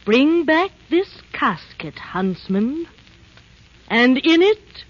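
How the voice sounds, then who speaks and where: A woman's voice: high-pitched, big pitch swings, drawn-out words, full character voice. A cold, menacing evil queen. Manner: commanding, menacing. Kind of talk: 1930s animated film acting. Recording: dull vintage film soundtrack.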